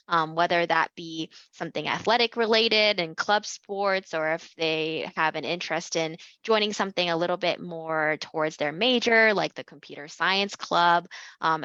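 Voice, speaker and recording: A woman hosting a campus interview, upbeat and full of ums and likes. Her voice is lowish but bright and forceful. The sound is dry and slightly muffled. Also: no echo. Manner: upbeat, many fillers like um and like. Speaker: university international admissions host.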